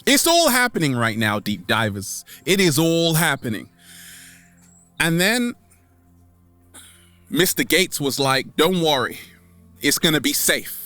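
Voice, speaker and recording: Animated, bright-voiced man with theatrical delivery. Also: fairly high pitch, no echo, faint music behind. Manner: theatrical, repeats catchphrases, dramatic pauses, exclaims. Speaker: vlog host. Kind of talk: animated comedic monologue to camera.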